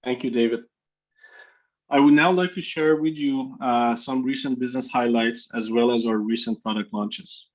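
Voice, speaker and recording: Slightly muffled, lo-fi conference audio carries a man with a mid-pitched voice. He presents scripted company updates as chief executive, in a formal read-aloud manner. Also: normal speed. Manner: formal, reading prepared remarks. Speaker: chief executive hosting the call. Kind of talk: scripted corporate presentation on an earnings call. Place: video conference call. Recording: dull, lo-fi conference call audio.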